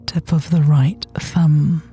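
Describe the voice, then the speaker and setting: A woman leads a guided relaxation in a gentle, mellow voice. She is breathy and often slips toward a whisper. Her delivery is soothing and very unhurried, leaving long pauses between phrases. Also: sits low, flat delivery. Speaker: guided meditation teacher. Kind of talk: guided body scan meditation. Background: soft meditation music.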